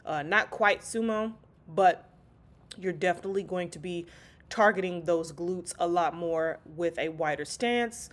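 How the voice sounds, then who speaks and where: A woman's voice: on the low side, a little slow. A stuffy, congested woman chatting casually through a workout voice-over, calling her viewers y'all. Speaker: fitness vlogger.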